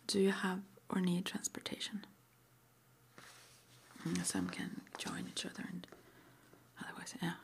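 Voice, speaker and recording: A woman whispering softly and breathily as an interviewer in an ASMR roleplay, murmuring little acknowledgements between her questions. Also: lowish voice, very animated, unhurried, creaky, long pauses. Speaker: interviewer at a witch school.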